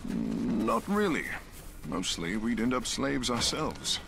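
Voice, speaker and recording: An actor playing a wry outcast deserter who leads rebels in a video game, gravelly, with a dry, self-deprecating manner. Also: male voice, medium pitch, big pitch swings, takes it slowly, over music, full character voice. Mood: wry reflection. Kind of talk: video game character dialogue.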